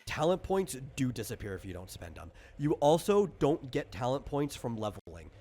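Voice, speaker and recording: A man casually explaining tabletop game rules as a game master, in a rambling, halting way that circles back and rephrases. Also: medium pitch, very animated, mellow.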